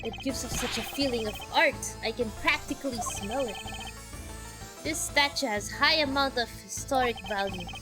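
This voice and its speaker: Woman reading as a pompous businessman, high voice. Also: moderate pace, over music, close to an everyday voice. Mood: haughty.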